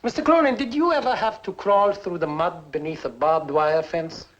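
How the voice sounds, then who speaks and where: An actor playing a bitter fugitive inventor who taunts theatrically, in a 1950s film style. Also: male voice, high voice, a bit bright. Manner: sardonic, theatrical, drawn-out taunting. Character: bitter, gloating fugitive inventor, a mocking villain. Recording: muffled, noisy 1950s film soundtrack.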